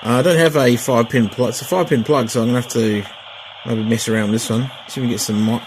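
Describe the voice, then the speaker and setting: A man's voice: medium pitch, fairly even intonation, fast, background noise. A middle-aged man chatting casually through a radio unboxing and repair, rambling with fillers and restarts. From Australia. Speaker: hobbyist vlogger.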